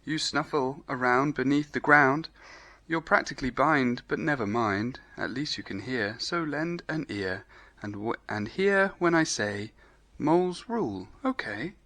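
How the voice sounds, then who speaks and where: Storybook rabbit, male, played by a man: dreamy, earnest poet, bright tone, lilting measured verse. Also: medium pitch, expressive. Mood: earnest, curious. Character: earnest, dreamy male rabbit who recites rhyming poems.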